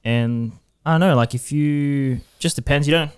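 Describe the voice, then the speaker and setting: A man, a music producer guest, talking in a relaxed, hesitant way on a podcast. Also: a little high, normal speed, slight background noise. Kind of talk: casual podcast chat.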